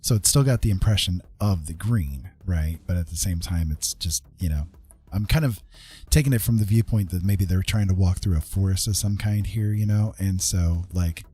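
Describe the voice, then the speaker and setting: A man hosting a casual art livestream, thinking aloud in an unhurried, tentative way and often checking in with listeners. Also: low-pitched, dark tone, slightly rough. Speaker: livestream host, digital artist.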